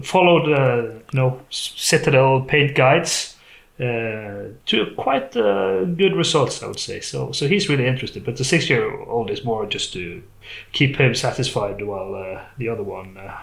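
A man's voice: medium pitch, animated, normal speed, vocal fry, reverberant. A Norwegian man from the west coast, a podcast guest chatting in English with a Norwegian accent, hesitant with many fillers. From west coast of Norway. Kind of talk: casual chat over a call.

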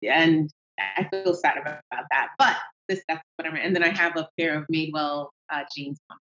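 Lively Nigerian woman on a roomy call. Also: low voice, fairly quick. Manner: lively, animated, laughs easily. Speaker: guest entrepreneur. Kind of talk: casual interview chat. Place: at home. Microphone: video call. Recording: roomy, dull video call audio.

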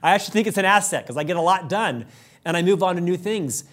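A middle-aged man hosting a leadership show, talking fast and upbeat in a prepared monologue, with an occasional stutter on a word. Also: high-pitched, expressive, fairly bright tone. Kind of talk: scripted monologue to camera.

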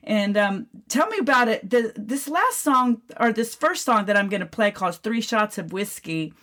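American woman, middle-aged, high voice, chatty radio host, effusive, casual interviewing. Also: animated, normal speed, a bit bright. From Florida, United States.

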